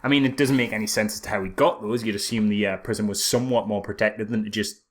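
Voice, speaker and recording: A man's voice: lowish voice, fairly even intonation, quick pace. A man co-hosting a casual comedic film discussion, rambling and sarcastic, slipping into mock quotes. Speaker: podcast co-host reviewing films.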